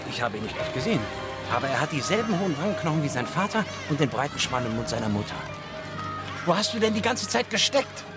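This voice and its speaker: An actor in a radio play playing a kind-hearted, reasonable travelling merchant who speaks firmly and benevolently. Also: male voice, on the high side, big pitch swings, fast, loud music behind the voice. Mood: joyful, benevolent.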